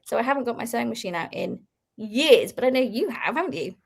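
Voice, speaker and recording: A woman's voice: fairly high pitch, very animated, quick pace, creaky. A woman in her thirties who grew up in Belgium, chatting casually and laughing often as an interview guest. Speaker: guest crochet designer.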